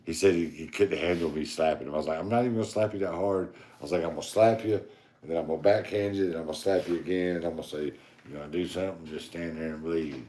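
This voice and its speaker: A man hosting a podcast, joking around in casual chat with a very deep voice. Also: fast, mellow, echoey room, a little hiss or hum. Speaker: podcast host.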